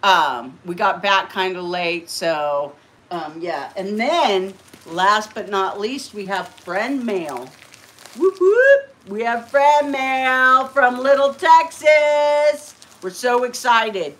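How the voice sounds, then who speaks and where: A woman's voice: mid-range voice, animated, slow pace, a bit bright. A middle-aged woman hosting a casual livestream from her living room, chatty and rambling, often laughing. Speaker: livestream host. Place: living room, green party decorations.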